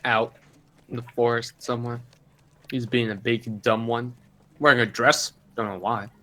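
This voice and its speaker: A man's voice: mid-range voice, level tone, moderate pace, sharp tone, natural voice. A man playing a terse, possessive captor escorting a prisoner in a tabletop game. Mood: offhand, firm.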